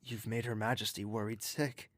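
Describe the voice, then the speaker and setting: An actor playing a gentle, protective, courtly confidant, speaking softly and earnestly with formal manners in an audio drama. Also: male voice, lowish voice, little pitch movement, moderate pace, dry recording, lightly acted. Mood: courtly, coaxing. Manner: soft, earnest, reassuring, formal.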